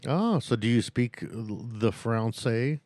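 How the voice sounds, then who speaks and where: A man's voice: mid-range voice, lively intonation, slow, a little mellow, no room sound, clean studio sound. A man hosting a podcast interview, talking casually and rambling with lots of fillers and chuckles. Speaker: podcast host.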